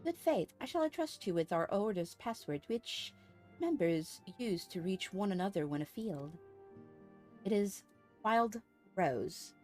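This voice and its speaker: A woman's voice: mid-range voice, expressive, a little slow, darkish tone, faint music behind, natural voice. A woman voicing an earnest, formal lady leader of a secret order in a fantasy game, with courtly speech.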